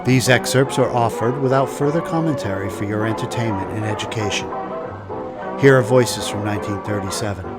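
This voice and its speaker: A man's voice: lowish voice, fairly quick. Male podcast narrator over prominent music. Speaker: podcast host. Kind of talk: scripted introduction narration. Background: loud music.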